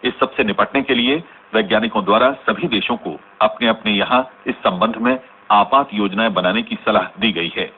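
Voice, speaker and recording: An actor playing a grave male news reader, delivering a formal, measured scripted bulletin in a radio drama. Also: on the low side, slightly rough. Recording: tinny, band-limited, noisy radio recording.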